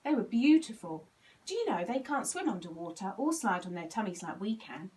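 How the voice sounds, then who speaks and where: A woman's voice: medium pitch, lively intonation, brisk, a little mellow, natural voice. A woman voicing a young boy penguin in a children's storybook, polite and earnest, exclaiming with feeling. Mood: excited, proud.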